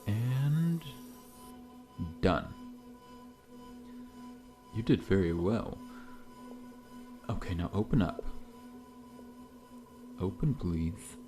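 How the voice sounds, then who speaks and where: A man playing a massive, friendly but faintly menacing scorpion-man dentist in an ASMR roleplay, speaking in a gentle, reassuring, politely formal way. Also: fairly low pitch, big pitch swings, extremely slow, pauses often, exaggerated voice. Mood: calm, reassuring. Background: background music.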